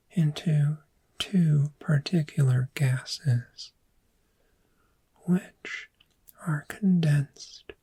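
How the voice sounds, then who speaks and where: A woman playing a calm, reassuring dragon therapist in a soft-spoken, breathy ASMR roleplay, gentle and measured. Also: extremely low pitch, exaggerated voice. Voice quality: breathy, whispery.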